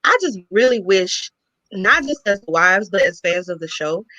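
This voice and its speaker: A woman's voice: mid-range voice, animated, a little slow, bright, forceful tone, dry recording. A woman calling in as a guest, giving earnest, emphatic commentary. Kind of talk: impassioned commentary. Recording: dull lo-fi call audio.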